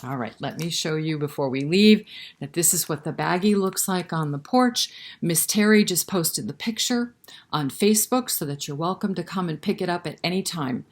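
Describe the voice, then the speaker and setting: A woman hosting a library storytime, reading a picture book and chatting directly to young children with questions. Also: low-pitched. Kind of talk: children's picture-book read-aloud and chat.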